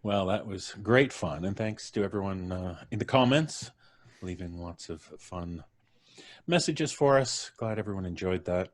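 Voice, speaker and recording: A man's voice: sits low, animated, a little slow. A man hosting a casual group podcast chat. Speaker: podcast host.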